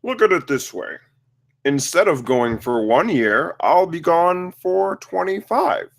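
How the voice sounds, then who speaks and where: A man acting a casual, sheepish father in his fifties at an animated comedy table read. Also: on the high side, takes it slowly, vocal fry, exaggerated voice. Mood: apologetic. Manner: casual, hesitant, brushing off bad news.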